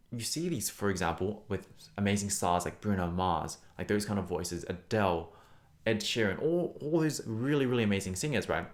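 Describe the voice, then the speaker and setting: A young man teaches singing in a casual, earnest way, speaking with a fairly low voice. He encourages the viewer and often repeats words to stress a point. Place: Australia.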